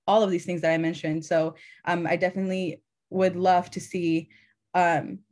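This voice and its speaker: Young woman guest from Afghanistan, low-pitched and flat, earnest, lots of "like"s. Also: moderate pace. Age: young adult. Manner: earnest, filler-heavy with many "like"s and restarts. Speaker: guest, community organizer. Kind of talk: livestream conversation.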